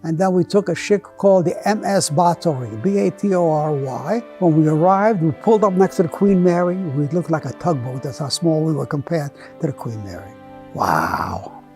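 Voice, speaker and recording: A man's voice: fairly high pitch, expressive, normal speed, dark tone, faint music behind. An older man, born in Hungary with Hungarian as his first language, telling his story in a recorded interview. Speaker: survivor interviewee.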